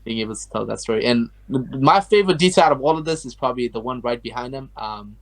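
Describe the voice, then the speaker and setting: A young man from Afghanistan talks at a quick clip in a mid-range voice. He rambles through his story as an interview guest, stringing thoughts together with constant fillers. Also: medium pitch, lively intonation, rough recording. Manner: rambling, heavy use of like and you know. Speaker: guest artist. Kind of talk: casual interview chat.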